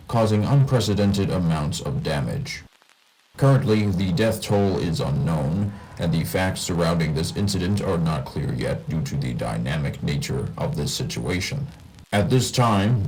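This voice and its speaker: A man playing a senior TV news anchor, delivering a formal scripted broadcast in a measured way. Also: low-pitched, a little mellow, a little hiss or hum, poor audio.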